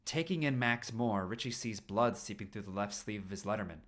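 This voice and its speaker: A man's voice: fairly low pitch, a little fast, a bit bright. A man reading a story aloud expressively on a stream, now and then tripping over a phrase and repeating it. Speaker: fan fiction reader on a livestream.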